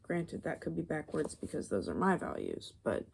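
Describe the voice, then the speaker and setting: A woman doing soft, rambling ASMR, musing hesitantly as she thinks aloud, her voice fading toward whispers. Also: low voice, wildly swooping pitch, moderate pace, vocal fry, slight background noise. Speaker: ASMR video creator.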